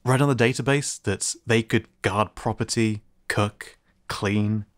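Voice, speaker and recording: Sci-fi repairman, male actor: easygoing joker, slightly low voice, conversational roleplay delivery. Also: unhurried, close to an everyday voice. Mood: teasing, friendly. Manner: casual, joking, chuckling. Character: teasing, friendly male sci-fi tinkerer and repairman.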